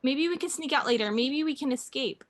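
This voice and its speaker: Actress playing a frightened, higher-voiced wife. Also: female voice, a little fast, lightly acted. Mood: urgent, frantic. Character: frightened, pleading wife and mother desperate to hide. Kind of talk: radio drama acting.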